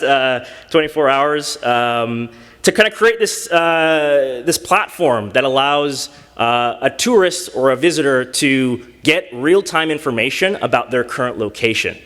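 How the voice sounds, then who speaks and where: A man presenting his hackathon team's project on a conference stage, halting and full of fillers. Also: mid-range voice, a bit bright. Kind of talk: live pitch presentation. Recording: long reverb from a hall.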